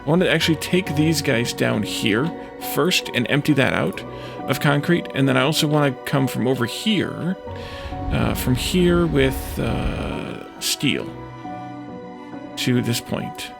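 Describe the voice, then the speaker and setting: A man giving unscripted gameplay commentary as a let's play host, thinking aloud in a hesitant, halting way. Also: mid-range voice, lively intonation. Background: clear background music.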